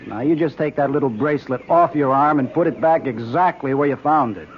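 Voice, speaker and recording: An actor playing an earnest, exasperated boyfriend on a jungle expedition in a radio drama, stern and cautioning. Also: male voice, mid-range voice, brisk, lightly acted. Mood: stern, warning. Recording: dull, noisy old radio recording.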